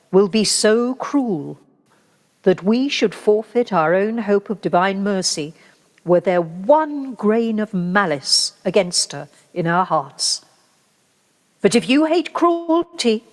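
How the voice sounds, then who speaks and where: Radio drama: female actor as a grave, unbending Inquisitor, slow measured oratory, heavily reverberant sound. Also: medium pitch. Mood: stern. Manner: measured, solemn, rhetorical. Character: grave male medieval Inquisitor, gently zealous and unbending. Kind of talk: radio play monologue. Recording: reverberant hall-like live recording from home.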